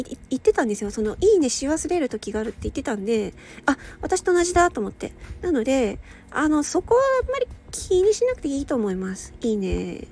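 A woman with a high, lively, swooping voice that has some creak in it. She talks casually and solo to her listeners in a hesitant, meandering, giggly way, tired and a little slurred. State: tired, slightly slurred speech at night. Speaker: audio channel host and hobby voice performer. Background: soft background music or ambience.

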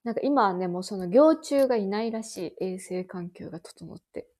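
Animated Kansai-accented woman in her thirties. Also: medium pitch, normal speed. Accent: Kansai Japanese. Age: 30s. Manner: animated storyteller, laughs while talking. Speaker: podcast co-host. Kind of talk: casual nostalgic chat.

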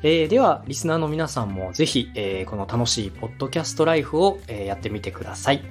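A man's voice: mid-range voice, animated, fast talker, soft background music. A man hosting a podcast, giving feedback in a polite, encouraging way. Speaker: podcast host and adviser. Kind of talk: friendly podcast coaching talk.

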